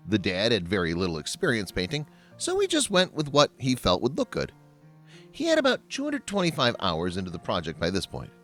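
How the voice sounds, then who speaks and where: A man's voice: fairly low pitch, a bit bright. A man reading scripted voice-over narration in the manner of a how-to video. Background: background music.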